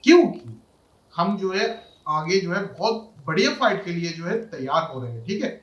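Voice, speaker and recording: Very fast, high-pitched man gaming commentator. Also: very animated. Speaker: gaming video host. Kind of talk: casual game walkthrough commentary. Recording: roomy, slightly dull microphone.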